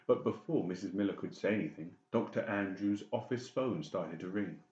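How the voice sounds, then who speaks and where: A man's voice: deep voice, moderate pace, a little mellow. A man reading a dramatic scripted audiobook narration. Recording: dull, echoey room recording.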